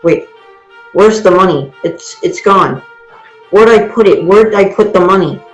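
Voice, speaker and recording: A man's voice: high voice, unhurried, soft background music. A man playing an absent-minded, flustered old uncle in a radio drama, with a fretful, dithering manner. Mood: panicked. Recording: roomy, dull lo-fi sound.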